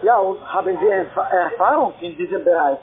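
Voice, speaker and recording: A man with a husky voice making a sales pitch as a phone caller. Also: a little fast, a loud crowd. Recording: tinny, narrow phone line.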